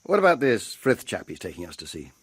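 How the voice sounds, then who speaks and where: An ironic, educated doctor in a radio play. His voice is lowish and husky. He speaks with dry understatement and lively shifts of pitch. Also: a little fast, full character voice. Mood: curious. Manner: wry, understated. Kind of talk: radio drama acting.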